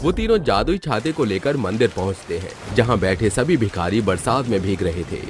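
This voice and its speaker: Low-pitched man narrating a story. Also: animated, moderate pace. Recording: music behind the voice.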